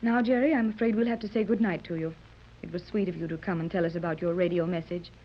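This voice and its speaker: An actress playing a kindly, wealthy mother in a 1930s radio serial, gracious and gentle with poised, refined diction. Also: fairly low pitch, steady tone, fast talker, slight background noise, natural voice. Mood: gently apologetic. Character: wealthy, kindly, hopeful mother seeking her lost daughter. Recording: muffled vintage 1930s radio recording.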